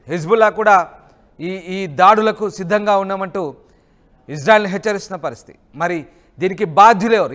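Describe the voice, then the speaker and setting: A man's voice: high voice, fairly bright tone. A man. He comments over footage with urgency and drama, leaning on repeated rhetorical questions. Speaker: news anchor.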